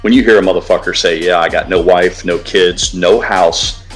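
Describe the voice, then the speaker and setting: A man's voice: sits low, fairly even intonation, normal speed, soft background music. A man co-hosting a casual livestream, talking in a blunt, profane, ranting way. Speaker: livestream co-host. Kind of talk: casual stream chat.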